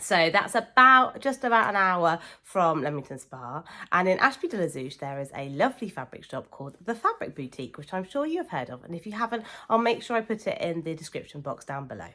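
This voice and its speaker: A woman chatting to camera as a sewing vlogger, croaky from a cold, gushing warmly about her friends. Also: on the low side, sing-song, a little fast, few pauses. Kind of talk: casual chat to camera.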